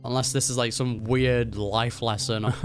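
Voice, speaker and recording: A man's voice: medium pitch, fairly even intonation, a little slow, a little hiss or hum. A young adult man giving casual, slangy reaction commentary on a video game story. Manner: laid-back, slangy.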